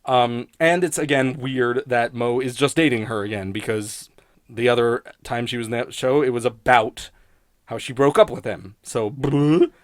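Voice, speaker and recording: A man's voice: medium pitch, normal speed, no echo, clean studio sound. A man giving a casual, rambling spoken review in a blunt, sweary, grumbling manner. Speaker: host of a TV criticism show.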